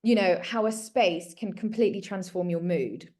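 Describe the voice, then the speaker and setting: A woman's voice: mid-range voice, moderate pace, a little mellow. A woman in her thirties from South Africa, a guest talking casually and earnestly about her work and her story. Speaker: guest, home organiser. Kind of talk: casual conversation.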